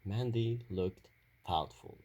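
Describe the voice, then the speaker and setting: Slow, husky man reading a children's story. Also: fairly low pitch, warm tone, highs cut off. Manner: halting delivery with stumbles and repeated phrases. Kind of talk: read-aloud children's story narration.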